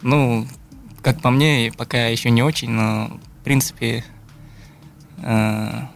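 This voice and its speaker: Young man, from Buryatia, interview guest, gravelly, mid pitch, measured and reflective. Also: fairly even intonation, a little hiss or hum. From Buryatia, Russia. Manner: thoughtful, searching for words. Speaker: guest musician, beatboxer and looper. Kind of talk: interview answers.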